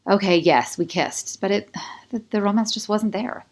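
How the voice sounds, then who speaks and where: A woman's voice: mid-range voice, moderate pace, voice pushed up, lightly acted. A stubborn young woman in a romance audiobook, wounded by an old breakup, played by a woman narrator. She talks with open, defensive emotion.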